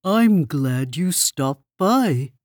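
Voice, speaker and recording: A woman's voice: low-pitched, lively intonation, drawn-out words, a little mellow, no echo, clean studio sound, full character voice. A woman voicing a kindly, motherly female mole who soothes a lost friend in a storybook. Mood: soothing. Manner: soothing, with a French greeting. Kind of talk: storybook read-aloud.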